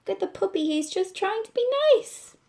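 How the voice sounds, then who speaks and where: Irish woman doing a bright reassuring friend. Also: extremely high pitch, fairly quick, vocal fry, pitched above the performer's own voice. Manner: reassuring, coaxing. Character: kind, patient male friend.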